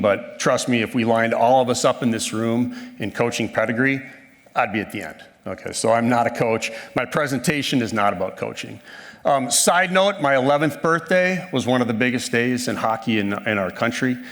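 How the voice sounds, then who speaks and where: A man in his fifties addresses a conference room, his mid-pitched voice carrying a long tail of hall reverb. He talks in a folksy, self-deprecating way, with plenty of ums and restarts. The sound comes through a handheld mic in a large space. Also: fairly bright tone. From northern Minnesota, USA. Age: 50s. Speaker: conference speaker. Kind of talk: conversational presentation.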